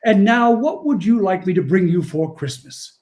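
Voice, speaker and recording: A man's voice: high-pitched, sing-song, normal speed, darkish tone. A man playing a kindly, jolly old Santa Claus with a genial, courtly manner in a 1940s-style radio play.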